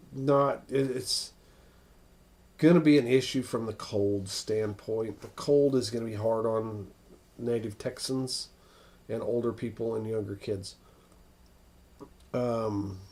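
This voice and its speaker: An American man hosting a casual, unscripted weather livestream, thinking aloud in a halting way as he answers viewers. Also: fairly low pitch, vocal fry, darkish tone. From northern United States.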